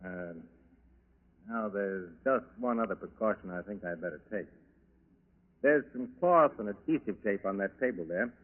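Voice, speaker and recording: An actor playing a polite, cool-headed armed burglar in 1940s radio style, speaking courteously and unhurriedly. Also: male voice, on the low side, animated, close to an everyday voice. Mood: calm, chatty. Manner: courteous, unhurried, chatty while menacing. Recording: muffled 1940s radio broadcast recording.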